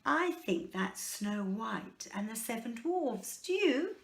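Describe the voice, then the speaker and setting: A woman's voice: a little high, sing-song, slow pace, vocal fry, echoey room. A woman reading a picture book aloud to children in a chatty way, prompting them with simple questions. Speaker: children's storyteller.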